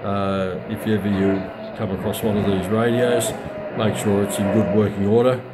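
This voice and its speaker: A middle-aged man giving a casual, unscripted product demonstration in a halting, hesitant way with many pauses. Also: lowish voice, level tone. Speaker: radio hobbyist reviewer. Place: small room at home, indoors. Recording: noisy home recording with radio static. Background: shortwave radio static and broadcast.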